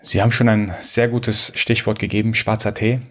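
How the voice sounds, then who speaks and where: A man's voice: fairly low pitch, level tone, moderate pace. A man from Iran hosting a podcast, interviewing a guest attentively and affirming often. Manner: attentive, frequent affirming murmurs. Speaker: podcast host. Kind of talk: interviewing, casual.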